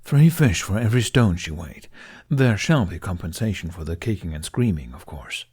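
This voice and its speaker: A man's voice: sits low, lively intonation, moderate pace, a little mellow, husky, heavily acted. A man voicing a grumpy, sarcastic, demanding male dragon in an audiobook, with imperious, drily bantering delivery. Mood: haughty grumbling. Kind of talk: audiobook character acting.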